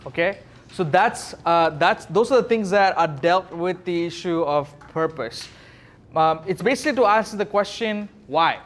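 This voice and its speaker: Higher-voiced man teaching informally. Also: normal speed. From Sri Lanka. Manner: informal, question-driven explaining. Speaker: youth pastor teaching a class. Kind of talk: casual interactive teaching talk.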